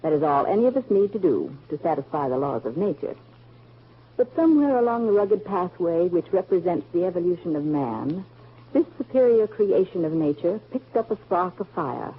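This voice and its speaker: An actress playing a thoughtful grown woman in 1940s American radio drama, reciting in a measured, poetic way. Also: fairly low pitch, husky. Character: thoughtful grown woman Hazel of a family saga. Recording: muffled old 1940s radio recording.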